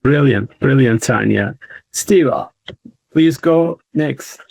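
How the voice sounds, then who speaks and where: A man moderates a live investor panel, halting and full of fillers as he introduces each guest. His voice sits at an ordinary pitch and sounds dry and close. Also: lively intonation, vocal fry, darkish tone, husky, no echo, low-quality recording. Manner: halting, many fillers and restarts.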